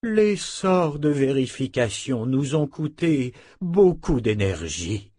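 Man doing an old abbess lady in a fantasy audiobook, worn out and breathy, tart, slow drawn out words. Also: medium pitch, lively intonation, a little mellow, dry recording, studio quality. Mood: bitter, exhausted. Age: older. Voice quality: breathy, drained. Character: frail old abbess, bitter, sharp-tongued sorceress.

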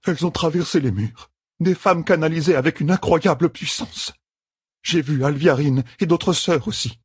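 A man's voice: on the high side, normal speed, breathy edge, no echo, clean studio sound, voice pushed up. A man voicing anxious, shaken women of a mystical sisterhood in an audiobook, with alarmed urgency.

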